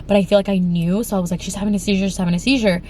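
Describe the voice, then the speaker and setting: A woman's voice: mid-range voice, fairly even intonation, rapid-fire, warm tone, a little hiss or hum. Congested young woman telling a frightening story. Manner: rambling with many fillers and restarts. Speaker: vlogger. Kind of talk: emotional storytime vlog.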